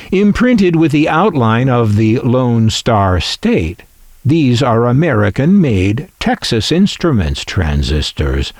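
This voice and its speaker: A man narrates at a slow, deliberate pace, with a slightly low voice that rises and falls freely. He explains things like a collector showing off a piece, musing wryly as he goes. The sound is dry and close. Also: a little mellow, dry recording. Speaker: collector presenting a vintage radio.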